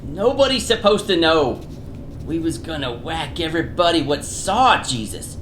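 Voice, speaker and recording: A deferential mob underling voiced by an actor in a comic radio play, anxiously reporting in slangy double negatives. The pitch jumps around widely and the room rings with echo. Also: animated, moderate pace, a bit bright, close to an everyday voice. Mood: conspiratorial. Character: deferential gangster underling Vinny, slangy, nervous bearer of bad news. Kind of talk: comic radio theater acting. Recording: roomy, echoing, faintly noisy recording.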